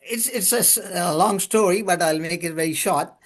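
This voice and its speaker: Older man, high voice, English. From Pakistan. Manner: unhurried, chuckles between stories, restarts words. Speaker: guest, lubrication consultant. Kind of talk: podcast interview storytelling.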